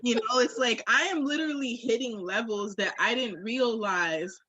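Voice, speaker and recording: A woman's voice: on the high side, moderate pace, sharp tone, highs cut off, a little hiss or hum. A woman in a candid, casual conversation, speaking in an emphatic, reflective way with frequent swearing. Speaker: podcast guest or co-host.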